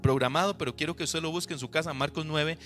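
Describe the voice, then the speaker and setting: Costa Rican pastor, male, bright and slightly high timbre, emphatic sermon delivery, keeps asking the congregation questions. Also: steady tone, quick pace, faint music behind. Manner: emphatic preaching with repeated rhetorical questions to the congregation.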